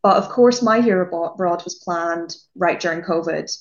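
A woman's voice: fairly low pitch, moderate pace. A young woman with a Northern Irish accent, a music journalist chatting casually as an interview guest, talking freely with restarts and fillers. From Northern Ireland. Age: young adult. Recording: lo-fi dull audio.

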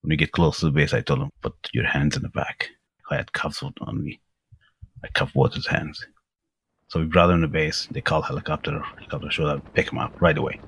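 Deep-voiced Afghan man telling his story. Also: steady tone, a little fast, creaky, fairly bright tone, slightly rough, no room sound. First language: Dari. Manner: plain, direct storytelling with uh fillers and simple grammar. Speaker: former interpreter, guest. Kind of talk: recounting personal story.

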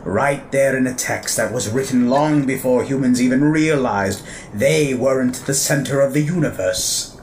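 An actor playing a vain, menacing alien warlord in a sci-fi audio drama, with a musing, theatrical and grandiloquent manner. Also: male voice, mid-range voice, measured pace, a little mellow, a little hiss or hum, natural voice. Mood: smug reverence. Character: menacing, vain alien warlord who savours ancient human texts. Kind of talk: audio drama villain acting.

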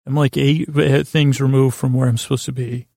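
Creaky, meandering male bedtime podcaster. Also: medium pitch, level tone, a little mellow, no echo, studio quality. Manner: meandering, halting, stammering and repeating words. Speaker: podcast host. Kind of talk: rambling, improvised bedtime-story monologue.

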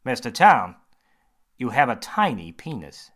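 A man voicing an eager, grinning male surgeon who talks brightly and bluntly. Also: medium pitch, sing-song. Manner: bright, emphatic, quick.

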